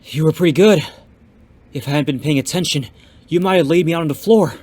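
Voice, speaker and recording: A man playing an eager, polite young martial arts student in an audio drama, earnest and expressive, in an echoey recording. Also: on the high side, brisk, lightly acted. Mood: upbeat. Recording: echoey, reverberant, faint background noise.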